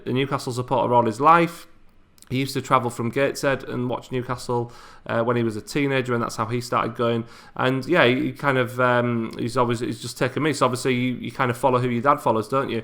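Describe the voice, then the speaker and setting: A man's voice: mid-range voice, bright, forceful tone. A man, answering subscriber questions in a casual, chatty way with frequent ums and restarts. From England. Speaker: YouTuber.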